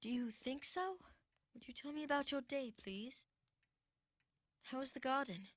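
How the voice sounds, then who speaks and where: An actor playing a sickly, spoiled young boy in an animated drama, with an imperious, whiny, dramatic manner. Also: very high voice, normal speed, no echo, studio quality, heavily acted. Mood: fearful, subdued.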